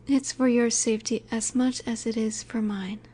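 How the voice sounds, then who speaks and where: Soft, mellow, high-voiced female mafia boss acted by a woman. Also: steady tone, husky. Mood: calm, warning. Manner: soft, unhurried, soothing yet in command. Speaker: audio roleplay voice actor. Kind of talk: intimate scripted audio roleplay, addressed to listener.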